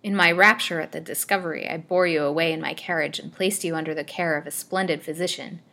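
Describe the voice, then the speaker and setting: A woman's voice: low-pitched, fairly even intonation, fast, gravelly, bright, forceful tone, full character voice. A woman voicing a possessive, ardent male suitor in a storybook, with florid and melodramatic declarations. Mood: pleading, ardent.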